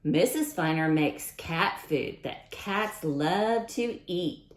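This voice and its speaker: A woman's voice: on the low side, animated, slow. A woman reading aloud at storytime for young children in a sing-song manner, often asking questions and repeating lines. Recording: roomy, echoing sound.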